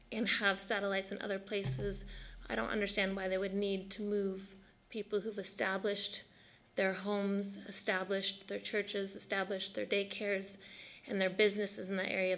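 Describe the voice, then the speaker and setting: A woman pastor speaks at a council meeting's public comment, steady and earnest. Her pitch barely moves, and a gravelly fry runs through her voice. She keeps an even, measured delivery throughout.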